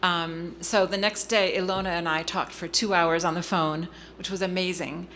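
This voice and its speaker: Bright-voiced woman telling a family story. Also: lowish voice, steady tone, slight background noise. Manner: earnest, halting with frequent ums and restarts. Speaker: guest speaker. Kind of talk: personal story in a public interview.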